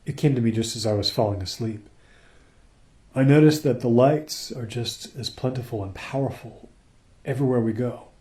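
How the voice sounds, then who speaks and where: Junior crewman, male actor, mid-range mellow voice, pensive, deferential, unmoored, naturalistic radio play. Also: medium pitch, normal speed, lightly acted. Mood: pensive, uneasy.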